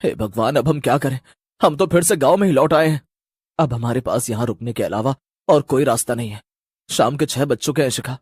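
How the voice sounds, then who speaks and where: A man's voice: mid-range voice, a little fast, a little mellow, breathy edge, no room sound, studio quality, close to an everyday voice. A man playing a caring, worried village man in a story, speaking earnestly.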